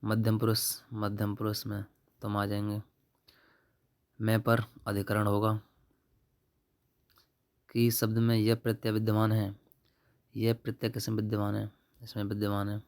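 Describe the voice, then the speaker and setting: Young guy, lowish monotone voice, casually running thru exam questions n answers. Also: a little slow, pauses often. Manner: offhand, colloquial, addresses viewers as brother. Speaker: exam-prep YouTube tutor. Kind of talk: informal exam paper walkthrough, reading questions and answers.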